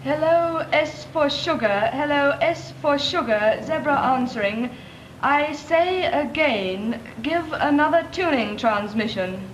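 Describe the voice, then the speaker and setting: A woman's voice: high-pitched, expressive, slow pace, dull audio. An actress playing a young female naval radio operator, speaking in clipped radio procedure. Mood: steady. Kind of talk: 1940s film acting. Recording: noisy, echoey 1940s film soundtrack.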